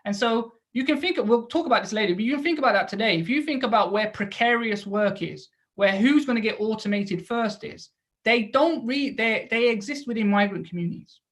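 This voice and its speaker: A woman's voice: on the high side, animated, a little fast. A woman lecturing to an audience in an explanatory way, posing rhetorical questions and adding short check-in tags as she goes.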